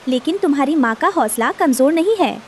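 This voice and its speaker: Woman playing a high-voiced, protective mother bird. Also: animated, brisk, a little mellow, a little hiss or hum. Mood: reassuring. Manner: reassuring, moralizing. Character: protective, determined mother bird in children's cartoon. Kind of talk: animated cartoon story dialogue.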